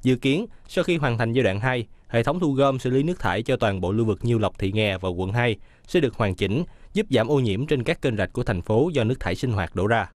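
A man reads news voice-over at a fast clip. His voice sits at a middle pitch and sounds dry and close, with no room around it. The delivery stays even and businesslike from sentence to sentence. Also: no room sound. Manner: brisk, even newsreader delivery. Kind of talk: scripted news narration.

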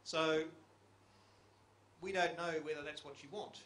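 A man's voice: a little high, steady tone, unhurried, a bit bright. A middle-aged man on a conference panel speaking into a handheld mic, reflective and putting questions to the audience. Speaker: geological survey panelist. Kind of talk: panel discussion remarks. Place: conference room. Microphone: handheld microphone. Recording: echoey conference room, faint hiss.

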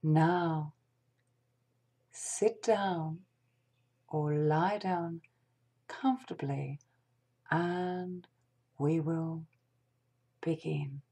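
A woman leading a guided meditation in a hushed, breathy, half-whispered voice, speaking gently and deliberately with long pauses. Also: low voice, steady tone.

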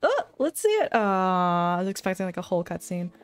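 Casual female streamer with lively pitch. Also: a little fast, clean tone, faint music behind. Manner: playful interjections, slangy. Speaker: game streamer. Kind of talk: casual live reaction commentary.

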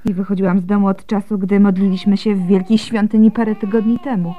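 An actress playing a devout, gentle young Byzantine wife and mother in a radio drama, speaking softly and earnestly. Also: medium pitch, little pitch movement, fast, dull audio, natural voice. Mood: tired, tender.

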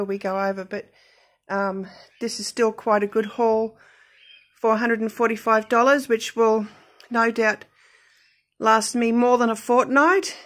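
Aussie lady doing a grocery haul vlog, a bit high voiced, lots of um and pauses, phone recording. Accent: Australian. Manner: chatty, many ums and pauses while listing items. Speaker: budget cooking vlogger. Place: inside a parked car. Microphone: phone camera at arm's length.

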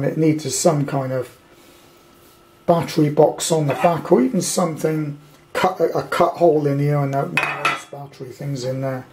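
A British man talks through a piece of kit as an amateur hobbyist would. His voice sits a little high for a man and has a dark, relaxed tone. He rambles in plain colloquial language and gives his opinions bluntly. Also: a little hiss or hum. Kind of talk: casual off-the-cuff product review. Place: indoors at a tabletop.

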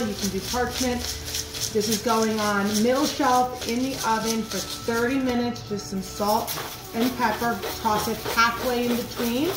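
A woman's voice: a little high, noisy background. A woman in her thirties, a chef giving an upbeat, chatty cooking demonstration in her home kitchen, filmed by a camera set in front of her. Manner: upbeat, chatty instructions with frequent fillers. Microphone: camera in front, mid-distance. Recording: roomy kitchen echo with music.

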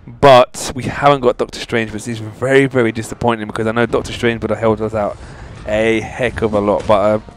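A man's voice: lowish voice, little pitch movement, fairly quick, slight background noise. A man hosting a casual video game walkthrough, talking informally and straight to his viewers, often repeating his phrases. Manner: informal, chatty, addressing viewers directly. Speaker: gaming channel host. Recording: game music behind voice. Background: video game music.

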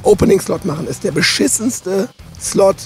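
A hoarse man in his thirties hosting a casual, joking interview on a handheld mic inside a van. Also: high voice, lively intonation, moderate pace. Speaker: host.

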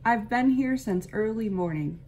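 A woman's voice: on the high side, animated, normal speed, a little mellow, slight background noise, pitched above the performer's own voice. Woman playing a matter-of-fact muskrat.

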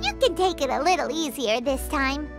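A tiny fairy girl from a fantasy game, extremely high and squeaky. She is bubbly, cheeky and childlike, her pitch bouncing around over loud music. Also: expressive, moderate pace. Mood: chirpy, determined. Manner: bubbly, refers to herself in third person. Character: tiny childlike female fairy companion, excitable, cheerful and cheeky. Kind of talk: video game character dialogue. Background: prominent background music.

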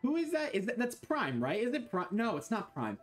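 A young man from Florida streaming a video game from his bedroom, joking, swearing and reacting loudly. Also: sits high, wildly swooping pitch, fairly quick, faint music behind. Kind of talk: casual game-stream commentary. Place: small bedroom indoors. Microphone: desk mic, wearing a headset.

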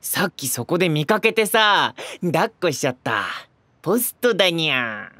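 A male actor playing a gentle, cheerful, innocent teenage boy idol in a Japanese audio drama, friendly and earnest. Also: a little high, very animated, normal speed, very noisy. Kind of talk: Japanese anime-style audio drama acting.

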